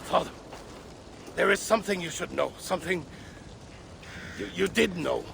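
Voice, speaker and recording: An actor playing a troubled warrior son of a Norse god in a video game, with a gravelly, halting delivery. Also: male voice, high-pitched, noisy background. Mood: troubled, hesitant. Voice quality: very gravelly, creaky. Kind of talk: dramatic video game acting.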